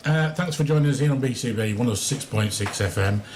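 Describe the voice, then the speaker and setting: A man's voice: medium pitch, fairly quick. Guy doing live radio interviews out in a square, encouraging, echoes back answers, bit of background noise. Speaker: radio host. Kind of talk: live outside-broadcast interviewing. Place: outdoors in a city square. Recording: outdoor broadcast with steady background noise. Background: outdoor ambience.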